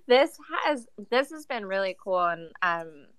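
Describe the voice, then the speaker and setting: A woman's voice: mid-range voice, big pitch swings, takes it slowly, fairly bright tone, clean tone, no echo. A woman speaking on a panel in casual chat, chatty and lighthearted.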